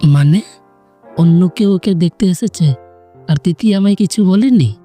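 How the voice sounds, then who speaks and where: A man's voice: sits high, a little slow, warm tone, soft background music. A man playing a brooding, jealous young village man in love in an audio romance drama, restrained and sullen.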